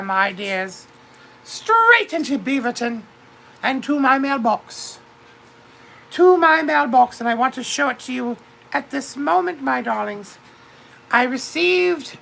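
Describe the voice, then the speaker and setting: A grand British duchess in her fifties, put on by a woman, lords it over her livestream viewers. She talks theatrically and calls everyone darling. Her voice is bright and gravelly and sits fairly high. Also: slight background noise, full character voice, poor audio. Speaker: livestream host. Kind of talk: in-character livestream chat.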